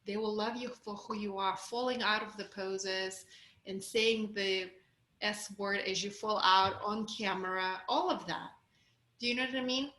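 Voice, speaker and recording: A woman's voice: medium pitch, steady tone, measured pace, pushed and bright, echoey room, rough recording. A woman with a Russian accent coaching a group on a video call, candid and encouraging. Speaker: course coach.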